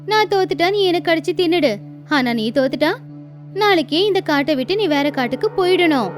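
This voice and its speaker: A woman's voice: very high voice, animated, normal speed, music in the background. A woman voicing a cunning, bold female goat who taunts in a cartoon fable. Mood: defiant.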